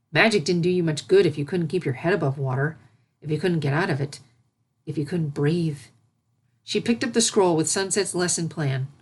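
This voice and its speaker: A woman with a slightly low voice reads a scripted story aloud. She works as the narrator, delivering fan fiction prose in a storybook style. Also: slightly rough.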